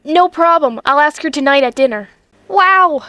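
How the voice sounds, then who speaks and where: A woman plays a spirited teenage girl in a comic dub. Her high voice is recorded very dry, and she comes across earnest, eager and a little defiant. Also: lively intonation, normal speed, pushed and bright, no echo. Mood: excited. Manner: earnest, emotive. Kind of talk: earnest fandub acting.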